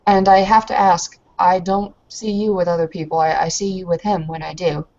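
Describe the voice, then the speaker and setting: A woman's voice: on the low side, steady tone, moderate pace, vocal fry, a little mellow. A woman playing a candid, hesitant young newlywed bride who is frustrated, in improvised tabletop roleplay. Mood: earnest, probing. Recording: dull lo-fi voice recording.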